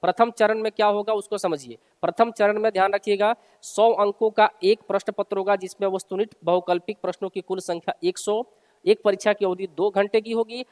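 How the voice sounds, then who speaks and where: A man's voice: high-pitched, fairly even intonation, brisk, darkish tone. A man teaching in an informal explainer style, reading out a notice and repeating key points in an instructive way for his listeners. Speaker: exam coaching teacher. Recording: long hall-like reverb.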